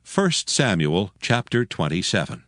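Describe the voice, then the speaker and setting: A man narrating scripture from a script in a measured, solemn storytelling manner. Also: deep voice, very animated, fairly bright tone, no echo, clean studio sound.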